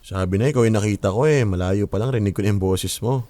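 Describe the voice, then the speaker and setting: A man's voice: fairly low pitch, fast, dark tone, dry recording, close to an everyday voice. An actor playing a curious, pushy young man in a radio drama, with an inquisitive, pressing way of talking. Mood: eager. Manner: inquisitive, pressing, casual Taglish.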